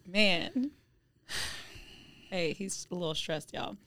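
A woman's voice: on the low side, lively intonation, slow, a bit bright, dry recording. A young adult American woman chatting casually as a guest on a podcast. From Phoenix, Arizona, United States. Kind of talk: casual chat, conversational interview.

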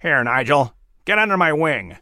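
A man voicing a kind, calm eagle. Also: a little high, moderate pace, gravelly, no room sound, studio quality, heavily acted. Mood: gentle.

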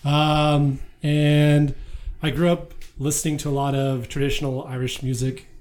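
A man's voice: a little high, level tone, a little slow, darkish tone. A man, a band founder, chatting laid-back in a podcast interview, laughing and swearing freely.

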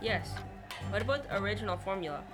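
A teenage girl acts a practical, scheming factory colleague in a youth radio play. The voice sits at a middle pitch, under loud music. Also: animated, quick pace, natural voice. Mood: urgent, scheming. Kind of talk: youth radio theatre acting.

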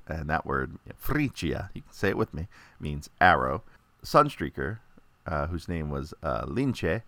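A man with a very low, deep voice talks in a relaxed, chatty way, filling pauses with "uh" and slipping in small jokes. The sound is dry and close, with almost no room echo. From United States. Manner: chatty, many "uh" fillers, lightly joking. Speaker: toy collector presenting a video. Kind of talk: casual informational monologue.

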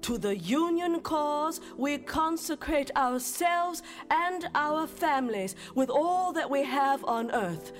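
An actress playing an earnest 19th-century petitioner for freedom in a theatrical reading, speaking in measured, declamatory phrases with weighty pauses. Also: female voice, sits high, expressive, faint music behind. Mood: solemn, fervent. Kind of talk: theatrical dramatic reading of historical documents.

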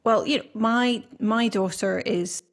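A woman's voice: fairly high pitch, a little slow. A woman from Scotland hosting a podcast, talking in a chatty, rambling way with plenty of fillers and restarts. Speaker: podcast host. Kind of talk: casual podcast conversation.

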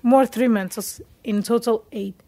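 A young Portuguese woman talks casually about her own experiences as an interviewee. Her voice sits a little high, and her accent is Portuguese. English is not her native language, so she hesitates and searches for words as she goes. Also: steady tone, unhurried, dark tone. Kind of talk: casual personal storytelling in an interview.

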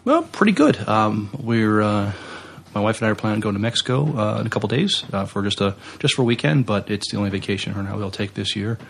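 A man co-hosting a podcast, chatting casually in a halting way and restarting his phrases. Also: fairly low pitch, lively intonation, creaky, a little hiss or hum. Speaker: podcast co-host. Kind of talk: casual unscripted podcast chat.

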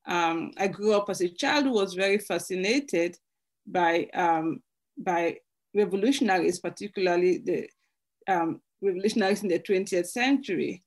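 Thoughtful woman author from Cameroon. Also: on the low side. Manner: thoughtful, halting with restarts. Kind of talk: author interview.

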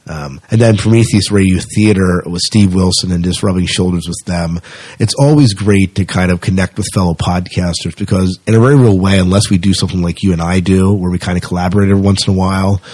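A man's voice: low voice, darkish tone, breathy edge, dry recording. A middle-aged man chatting casually as the host of a podcast.

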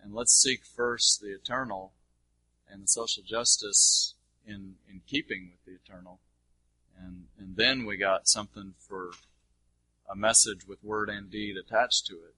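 A lowish, husky male voice with a very bright, pressing edge. He teaches informally in answer to questions, emphatic and colloquial, in a dry recording. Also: fairly even intonation, a little slow, no room sound, rough recording. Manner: colloquial, emphatic, checks for understanding.

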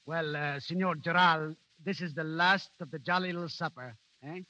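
An actor playing a jolly, sentimental doctor with an Italian accent in a 1930s radio play. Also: male voice, on the high side, fairly even intonation, moderate pace, pushed and bright, a little hiss or hum. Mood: jovial, wistful. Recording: dull 1930s radio broadcast.

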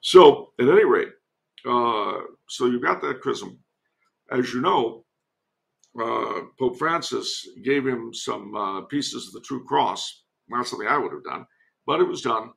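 A man's voice: mid-range voice, expressive, unhurried, vocal fry. A man giving casual historical commentary as a podcast guest, digressive and given to wry asides.

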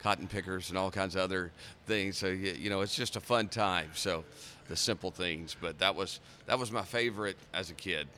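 A man's voice: deep voice, moderate pace, pushed and bright. A man chatting casually as a guest in a podcast interview. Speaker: podcast guest, seminary professor. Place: conference center during a busy event. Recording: faint busy venue noise behind.

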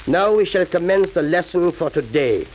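An actor playing an arrogant, imperious Nazi official in a 1940s radio drama. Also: male voice, high voice, animated, normal speed, darkish tone. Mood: commanding, zealous. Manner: pompous, imperious. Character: arrogant Nazi official taking over a school. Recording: muffled, hissy 1940s radio recording.

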